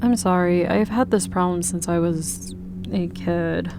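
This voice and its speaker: A woman's voice: fairly low pitch, a little slow. Woman reading a visual novel aloud over music. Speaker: game player reading dialogue aloud. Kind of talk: visual novel read-aloud let's play.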